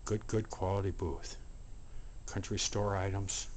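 A man with a deep voice gives a promotional tour of a shop, speaking from behind a handheld camera. He names items one after another in short, unhurried phrases and often repeats himself. Light room noise sits behind his voice. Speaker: antique mall booth presenter. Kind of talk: promotional walkthrough narration. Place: indoor antique mall showroom. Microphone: handheld camera, operator speaking from behind it. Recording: steady background room noise.

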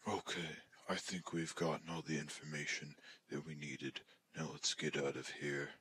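A man voicing a calm, commanding toy army scientist in a stop-motion film. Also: extremely low pitch, moderate pace, noisy background. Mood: businesslike.

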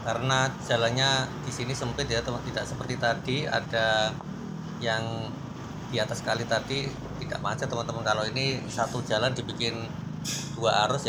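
A man giving a casual running commentary while riding a motorbike through city traffic, often addressing his viewers as friends. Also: on the low side. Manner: chatty, keeps addressing friends, frequent fillers. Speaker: motovlog host. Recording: road traffic noise behind. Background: engine and traffic noise.

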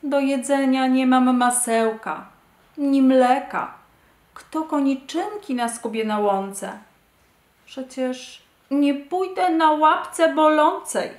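A woman's voice: high voice, slow pace, reverberant, voice pushed up. A woman voicing a naughty little male hare in a children's story, whining and self-pitying. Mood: plaintive.

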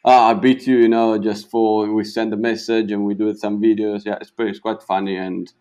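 A young Italian man with a slight South African tinge, a pro golfer answering interview questions in halting English that repeats words. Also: fairly low pitch, little pitch movement, mellow. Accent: Italian, with a touch of South African. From Italy.

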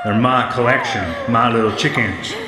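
Radio drama villain: an unhinged, taunting male captor, very lively intonation, heavy reverb. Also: medium pitch, normal speed. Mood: gloating menace. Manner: taunting, excitable, rustic bad grammar. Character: crazed, cruel male gunman keeper of captives. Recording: echoey reverberant radio drama, loud music.

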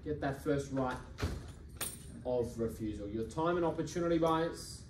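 A man's voice: mid-range voice, noisy background. Young male auctioneer, persuasive bid caller, recorded from a distance in a reverberant function room. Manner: brisk, persuasive, repetitive bid calling. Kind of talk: live property auction calling. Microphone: far camera. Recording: echoey room from far off.

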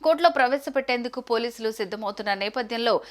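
A woman's voice: a little high, fast. A woman news presenter reading a scripted report in a formal news narration style.

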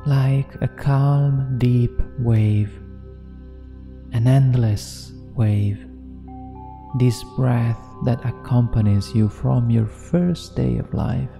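A man narrating a guided meditation in a soothing, measured manner with deliberate pauses. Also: medium pitch, steady tone, creaky, mellow. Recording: long reverb, New-age music behind. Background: New-age music.

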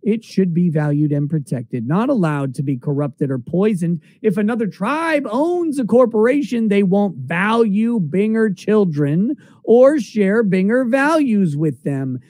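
High-pitched man reading aloud, declamatory. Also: very animated, unhurried, darkish tone, no echo. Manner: declamatory, emphatic. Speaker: streamer reading a text aloud.

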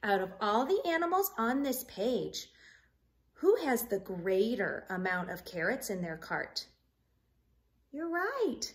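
High-pitched woman reading slowly to children. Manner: expressive, sing-song storytelling with questions addressed to young listeners. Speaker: teacher reading a picture book aloud to children. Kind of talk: children's read-along with simple math questions. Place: living room at home.

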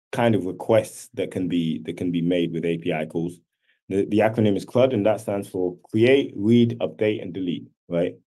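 A man's voice: on the low side, warm tone. A man hosting an online class over a video call, explaining things casually and often checking in with "right?". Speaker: host teaching an online class. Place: video call with screen sharing.

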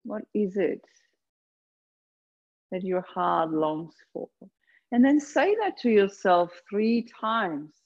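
A woman leading a guided meditation, speaking gently and unhurriedly in short phrases with long pauses between them. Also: mid-range voice, lively intonation, dull audio. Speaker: yoga nidra meditation guide. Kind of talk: guided meditation, slow soothing instruction.

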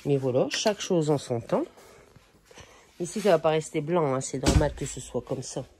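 A woman's voice: sits low, lively intonation, moderate pace, noisy background. A middle-aged woman giving a casual, chatty house tour in an informal way, talking as she moves from room to room. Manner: informal, chatty, talks to her pet in passing. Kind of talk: casual home-tour chat to camera. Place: indoors, walking through house rooms.

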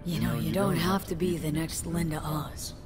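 Low-voiced, blunt woman street musician, acted. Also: steady tone, normal speed, music in the background, close to an everyday voice. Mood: frank, reassuring. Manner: terse, matter-of-fact, dropped words. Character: blunt, worldly street musician mentoring a boy. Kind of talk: naturalistic video game acting.

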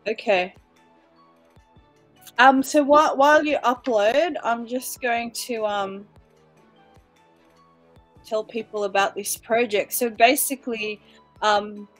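A woman's voice: fairly high pitch, slow pace, long pauses, soft background music, low-quality recording. A woman in her forties hosting a casual online interview show from a room at home over a video call. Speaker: host and interviewer.

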